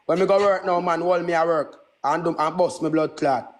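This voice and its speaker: A man's voice: on the high side, steady tone, a little fast. A Jamaican man in his twenties who speaks Patois in a brash, boastful, repetitive way, ranting casually on a livestream. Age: 20s. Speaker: livestream host.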